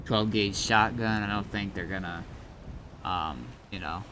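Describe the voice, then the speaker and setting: A man streaming a game as an admin, chatting casually in a halting, explanatory way with some swearing. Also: lowish voice, background noise.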